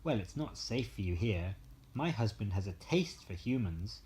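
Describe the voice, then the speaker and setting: A man's voice: on the low side, very animated, normal speed, darkish tone. A man voicing an enormous, cautious but helpful giantess with a motherly, warning manner in a bedtime story. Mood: curious, warning.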